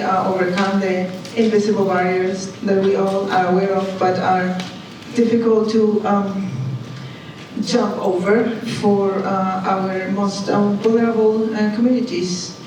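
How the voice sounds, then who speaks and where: A woman from Chile with a Chilean Spanish accent, a nonprofit founder speaking on a panel, quick and excited, laughing often. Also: mid-range voice. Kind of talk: panel discussion. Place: conference hall. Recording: echoey hall, distant, some noise.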